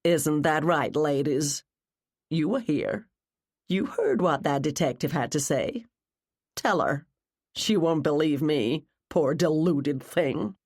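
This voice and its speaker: A woman's voice: sits low, animated, slow, darkish tone, heavily acted. A woman voicing a cruel, wealthy wife in an audiobook, mocking others with bored, drawling disdain. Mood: smug.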